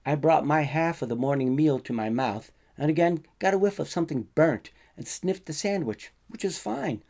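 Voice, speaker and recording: A man reading his own short fiction as a first-person narrator, in a conversational, colloquial way with expressive emphasis. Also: fairly high pitch, normal speed.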